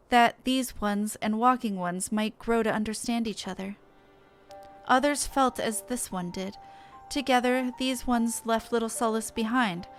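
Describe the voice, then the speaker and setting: A woman's voice: fairly high pitch, close to an everyday voice. A woman voicing an earnest forest sylph from a fantasy game, speaking in stilted, formal phrases.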